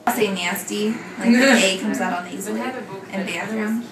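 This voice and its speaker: A woman's voice: medium pitch, normal speed. Young woman from Arizona, easygoing, distant echoey recording with crowd noise. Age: young adult. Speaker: study participant. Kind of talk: reading word lists, casual chat. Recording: echoey room, distant mic.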